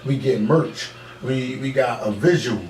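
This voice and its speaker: A man hosting a casual podcast, talking in animated, profane slang. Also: medium pitch, measured pace. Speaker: podcast host. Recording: roomy, echoey, distant mic, background noise.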